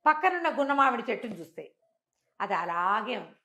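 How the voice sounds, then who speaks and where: A woman telling a moral folk tale in a conversational, animated way, acting out dialogue and asking rhetorical questions. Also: on the high side, fairly quick. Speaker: storyteller.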